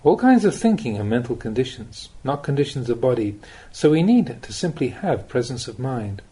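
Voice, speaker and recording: A man reading a spiritual teaching aloud as an audiobook, with measured, deliberate phrasing and pauses. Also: medium pitch, sing-song, dark tone, breathy edge, poor audio. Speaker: Buddhist monk reading recorded teachings. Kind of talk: audiobook reading of a Dhamma talk.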